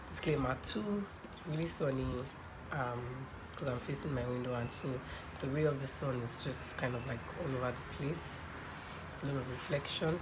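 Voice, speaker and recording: Very low croaky woman with a cold. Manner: chatty, many ums and okays. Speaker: skincare vlogger. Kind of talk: casual product review to camera. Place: home room by a sunny window near a road. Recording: clear traffic noise behind. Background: passing cars.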